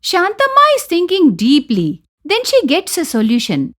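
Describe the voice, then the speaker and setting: A woman's voice: extremely high pitch, huge pitch swings, moderate pace, fairly bright tone. A woman with an Indian accent reading scripted narration for a moral story as its storyteller.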